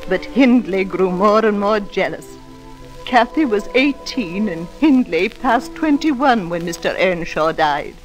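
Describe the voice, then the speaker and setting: A woman's voice: mid-range voice, animated, unhurried, soft background music, lightly acted, rough recording. A woman playing a housekeeper who narrates a sombre tale in a 1940s radio drama. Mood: sombre storytelling.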